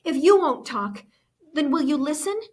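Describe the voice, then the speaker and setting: A woman's voice: high-pitched, sing-song, normal speed, darkish tone, pitched above the performer's own voice. A woman reading the part of an anxious, earnest girl in a children's novel, pleading as she talks. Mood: urgent, pleading.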